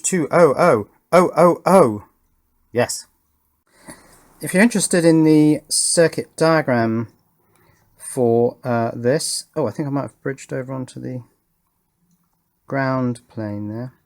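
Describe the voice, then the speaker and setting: Unhurried man thinking aloud. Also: medium pitch. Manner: thinks aloud, hesitant pauses, murmured asides. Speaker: host of an electronics project channel. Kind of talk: casual hands-on narration while working.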